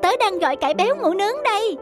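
Very high-pitched friendly little bird. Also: female voice, big pitch swings, rapid-fire, music in the background. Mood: cheerful calling. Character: small friendly sparrow-like bird, helpful early riser. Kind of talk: children's cartoon dubbing.

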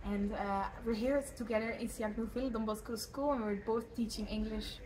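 A young Dutch woman speaks English with a Dutch accent, earnest and pausing on fillers now and then. Her voice sits a little higher than usual. Clear background noise runs under the recording. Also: steady tone, a little mellow. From Netherlands. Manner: earnest, with frequent filler pauses. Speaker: lay missionary teacher. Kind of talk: casual testimonial to camera.

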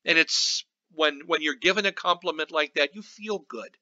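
Man, pastor, Bible study commentary, higher-pitched voice, very bright and sharp, halting and reflective, dry slightly dull recording. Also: dry recording. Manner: halting, reflective teaching with scripture quotations.

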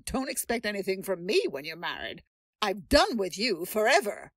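A woman's voice: medium pitch, very animated, normal speed, fairly bright tone, no echo. A haughty, scornful old aunt in an audio drama. Her scolding voice swings widely up and down, recorded close and dry. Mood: indignant. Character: stern rich elderly aunt, scornful.

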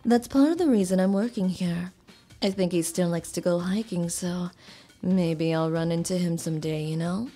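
A woman playing a polite, soft-spoken and hesitant lodge keeper in her early thirties in a manga dub. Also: fairly low pitch, normal speed, soft background music, natural voice. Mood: friendly, wistful.

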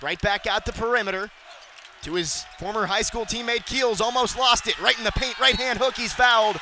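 A young man calling radio basketball play-by-play, rattling off plays and shouting on big moments. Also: high-pitched, noisy background. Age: young adult. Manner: rapid excited calls, shouting on big plays. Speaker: play-by-play announcer.

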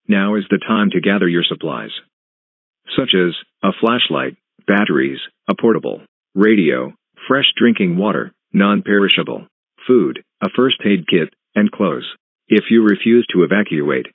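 A man reading a scripted emergency alert as an announcer, in a flat, measured, synthetic-sounding delivery with clipped phrases. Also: low voice, no echo. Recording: muffled, narrow phone-like broadcast sound.

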